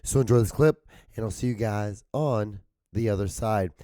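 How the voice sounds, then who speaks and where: A man hosting his own podcast with a hoarse voice that cracks and gives out. He rambles casually, swears often, and holds back his volume to spare his throat. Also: lowish voice, normal speed, dark tone, no room sound, clean studio sound. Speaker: podcast host.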